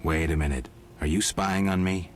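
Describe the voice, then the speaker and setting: An actor playing a stoic, gruff monster hunter in a video game, terse and deadpan with a gravelly voice. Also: male voice, low-pitched, normal speed, slight background noise, close to an everyday voice. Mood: cool, businesslike.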